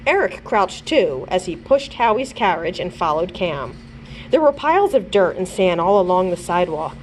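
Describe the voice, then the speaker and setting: Animated woman reading to children. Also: fairly low pitch, moderate pace, a little hiss or hum, low-quality recording. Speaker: librarian reading aloud. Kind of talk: expressive children's chapter book read-aloud.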